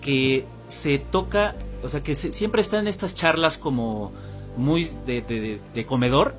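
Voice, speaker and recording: A man's voice: mid-range voice, a little slow, faint music behind. A man hosting a podcast, talking reflectively and casually with some restarts. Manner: reflective, thinks aloud with restarts. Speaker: podcast host. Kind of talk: casual conversational interview.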